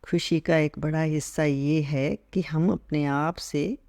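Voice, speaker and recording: A man leading a guided meditation as an instructor, speaking calmly in short measured phrases with gentle pauses. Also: a little high, creaky, no room sound, clean studio sound. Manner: mixes English words into Hindi, repeating terms in both.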